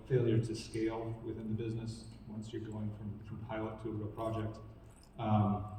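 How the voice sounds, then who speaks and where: A male panel moderator with a mellow, dark-toned voice sums up answers and asks the next question fluently. He is picked up from far off in a big hall with a long echo. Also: lowish voice, a little hiss or hum. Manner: fluent, summarizing, posing questions. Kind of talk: panel discussion. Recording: echoey hall, distant mic.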